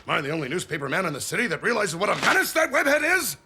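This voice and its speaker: A pompous, ranting middle-aged newspaperman in a game. The voice is gravelly and forceful, racing along with wild swings in pitch. He is cranky and loud. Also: sing-song, very fast, a little hiss or hum, exaggerated voice. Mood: outraged. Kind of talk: video game voice acting.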